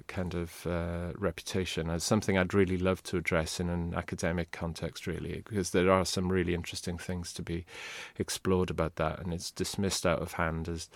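Low-voiced man, rambling. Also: dry recording. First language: Welsh. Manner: rambling, restarts, candid. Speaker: guest. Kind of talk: casual interview talk.

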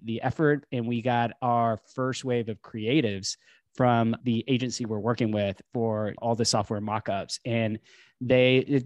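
A man hosts a casual podcast at a middle pitch. He talks in a friendly way and often trips over and restarts his phrases, recorded in a dry, close room. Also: dry recording. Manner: friendly, filler-heavy, frequent restarts. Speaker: podcast host.

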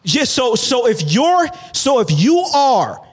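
A man's voice: very high-pitched, big pitch swings, slow. A young man from Boston ranting profanely in a casual sports chat, as a podcast co-host.

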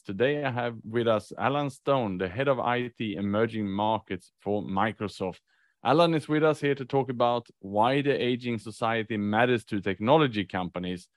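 A man's voice: mid-range voice, moderate pace, no room sound, faint music behind. A man hosting an interview podcast, opening with a scripted introduction and then asking friendly, conversational questions. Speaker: podcast host.

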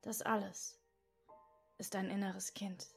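A woman leads a guided meditation in a soft voice that often drops to a whisper. She speaks very slowly, with a gravelly, creaky edge to her tone. Faint music plays under her voice. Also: medium pitch, flat delivery. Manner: slow soft whispery guidance. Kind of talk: guided inner-child meditation.

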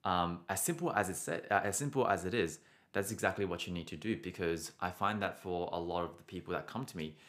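A man's voice: sits low, brisk, fairly bright tone. A young man teaching singing on a live stream, answering viewer questions casually and often adding "right?". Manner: casual, encouraging, frequent "right?" tags.

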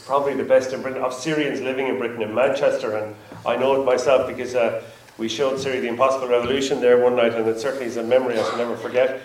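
A man's voice: medium pitch, flat delivery, fast talker, few pauses, slight background noise. A man hosting a panel after a film screening, talking in a hesitant, rambling way and repeating himself. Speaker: event host. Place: screening hall with audience. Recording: roomy hall from far off, faint ambience.

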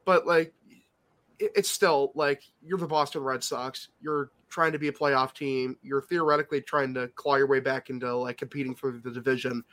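A man's voice: mid-range voice, fairly even intonation, normal speed, a bit bright. A congested man co-hosting a casual sports podcast, rambling with plenty of filler words. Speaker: podcast co-host.

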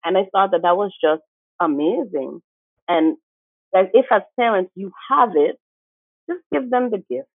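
A woman's voice: mid-range voice, moderate pace, pauses often, breathy edge, no room sound. Earnest encouraging woman from st martin, teacher, tinny phone-ish call audio, dry. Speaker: guest, teacher. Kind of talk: conversational interview.

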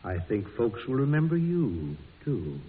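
An actor playing a courtly, gentle general in a 1940s radio drama, speaking slowly and courteously. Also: male voice, medium pitch, very animated. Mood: gentle, grateful. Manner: slow, courteous. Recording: lo-fi old radio, long reverb.

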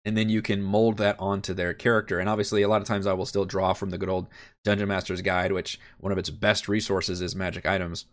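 A man with a somewhat low voice sounds nasal and congested from a head cold. He talks loosely and thinks aloud as a game master on a livestream, with frequent fillers and restarts. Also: little pitch movement, fairly quick. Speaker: game master and channel host. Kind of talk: casual livestream Q&A.

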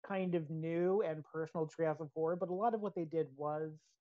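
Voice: mid-range voice, fairly even intonation, brisk, no room sound, muffled recording. A podcast co-host chatting casually about pop culture, explaining details with enthusiasm.

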